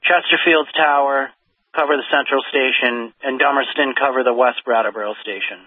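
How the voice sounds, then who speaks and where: A male fire dispatcher makes formal radio announcements in a measured way, repeating himself. His voice sits at an ordinary pitch, and the sound is narrow and dry, heard through a radio. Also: slightly rough, dry recording. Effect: two-way radio. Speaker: fire mutual aid dispatcher. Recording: dull, band-limited radio dispatch audio.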